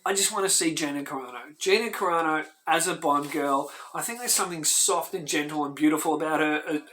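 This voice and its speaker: A man hosting his own fan channel, talking to camera with excited, emphatic enthusiasm and bursts of emphasis. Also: fairly high pitch, fairly quick, a little hiss or hum. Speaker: host of a fan video channel. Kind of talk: enthusiastic casual talk to camera.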